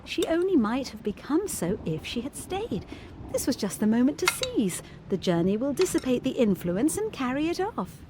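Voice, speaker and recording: A young governess in a gothic ghost story. Her voice sits a little high, her phrasing is formal and literary, and she sounds earnest, intense and anxiously determined. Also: very animated, moderate pace, noisy background. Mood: composed, guarded. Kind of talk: audio drama acting of Victorian gothic ghost story.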